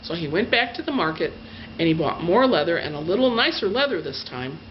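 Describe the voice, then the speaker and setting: A woman's voice: fairly low pitch, sing-song. Woman telling a fairy tale, lo-fi recording. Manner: gushing emphasis, drawn-out adjectives. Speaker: storyteller. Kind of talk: animated bedtime fairy-tale storytelling, told in own words. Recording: dull lo-fi sound with faint noise.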